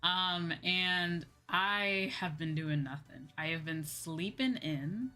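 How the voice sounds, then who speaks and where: A woman's voice: fairly low pitch, slow pace, bright, forceful tone. A woman hosting a livestream as a VTuber, talking casually in a chatty, giggly way. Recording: background music behind voice. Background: music.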